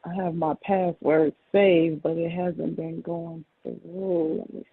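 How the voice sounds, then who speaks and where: A woman's voice: fairly low pitch, level tone, slow pace, dry recording. A woman customer in a casual phone conversation, talking in a hesitant, distracted way over a phone line. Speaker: insurance customer on a call. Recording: dull, band-limited phone call recording.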